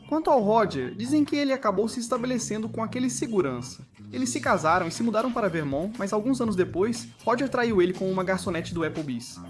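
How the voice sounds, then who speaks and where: Brazilian narrator reading a scripted cartoon recap, middle pitch, music playing behind. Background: background music.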